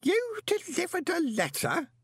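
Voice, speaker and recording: Elderly postman, breathy and insistent, dry studio sound. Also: very high voice, wildly swooping pitch, brisk, dry recording, pitched above the performer's own voice, heavily acted. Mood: horrified. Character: small elderly postman, fussy and aghast.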